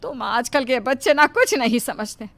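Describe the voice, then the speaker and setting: A woman plays a cautious, protective mother-in-law from a cartoon moral story. Her voice sits fairly high, and she fusses and chides in a concerned, nagging way. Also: very animated, normal speed, a bit bright, heavily acted. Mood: worried, chiding. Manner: scolding, exclaiming, fussing.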